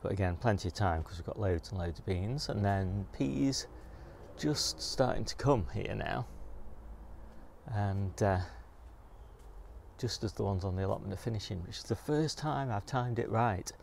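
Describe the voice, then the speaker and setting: Low, gravelly man. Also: moderate pace, darkish tone. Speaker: gardener giving a garden tour. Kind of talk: casual unscripted vlog narration while walking. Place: outdoors in a home garden. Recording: clear outdoor background noise.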